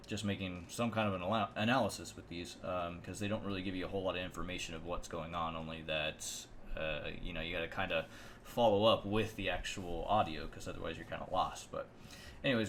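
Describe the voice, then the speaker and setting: A man's voice: sits low, fairly bright tone, a little hiss or hum. A man hosting a video game channel, rambling offhand through casual reaction commentary.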